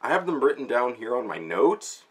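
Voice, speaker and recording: A man's voice: mid-range voice, very animated, normal speed. A man giving a casual, rambling review in a chatty vlog style, speaking fondly and enthusiastically about a story. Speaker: book and manga reviewer.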